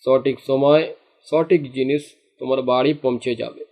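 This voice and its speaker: A man's voice: medium pitch, steady tone, moderate pace, a little mellow, close to an everyday voice. A man voicing a jealous, greedy fisherman in a cartoon, grumbling and indignant in his delivery. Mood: spiteful, scheming. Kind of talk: cartoon dialogue acting.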